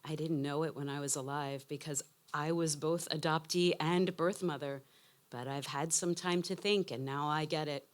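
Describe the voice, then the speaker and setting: A woman's voice: low voice, steady tone, normal speed. A woman in her fifties reading a personal essay aloud with emotional, emphatic phrasing. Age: 50s. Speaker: essayist reading own work.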